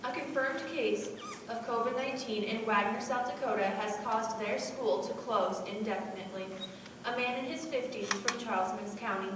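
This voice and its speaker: A woman's voice: fairly high pitch, normal speed, natural voice. A woman playing a formal news anchor reading bulletins in a stage play. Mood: formal, serious. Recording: echoey hall from far off, noisy.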